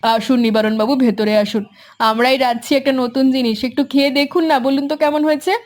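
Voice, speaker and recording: A woman voicing a gentle, hospitable village mother in an animated cartoon. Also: high-pitched, fast, natural voice. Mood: welcoming.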